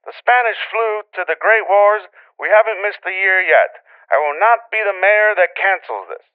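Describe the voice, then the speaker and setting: A man's voice: sits high, lively intonation, moderate pace, clear, resonant tone. A man playing a proud, domineering small-town mayor in an audio drama, pompous and authoritative, heard through a telephone. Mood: insistent. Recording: thin telephone-line sound.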